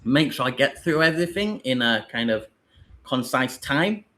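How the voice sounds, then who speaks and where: A British man talks his way through a live webinar for new online teachers, drifting and rambling with plenty of fillers. His voice sits at an ordinary middle pitch for a man, neither deep nor high. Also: expressive, normal speed, sharp tone. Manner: loose, rambling explanation with fillers.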